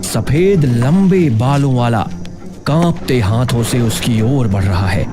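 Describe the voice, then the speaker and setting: Male narrator for a children's cartoon tale, very lively intonation, slow dramatic delivery. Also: medium pitch. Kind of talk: dramatic scripted storytelling narration. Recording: music playing behind the voice. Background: clear background music.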